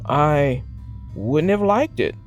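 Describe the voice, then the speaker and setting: A woman's voice: low voice, sing-song, takes it slowly, muffled recording, faint music behind, voice pushed down, exaggerated voice. A woman voicing an easygoing, proud father bear from a picture book, hearty and emphatic. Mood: reflective.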